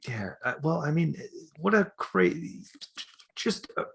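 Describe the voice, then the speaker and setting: A man's voice: on the high side, animated, a little slow, gravelly, fairly bright tone, slightly rough. A man co-hosting a podcast in casual comic banter, interjecting with skeptical riffs. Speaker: podcast co-host.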